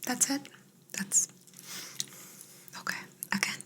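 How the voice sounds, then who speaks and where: Woman doing a curious alien lady, asmr roleplay, breathy whisper, super slow n soothing, kinda clinical, little hums. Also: sharp tone. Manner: slow, soothing, measured instructions with murmured hums. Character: curious, gently clinical female alien examining an abducted human.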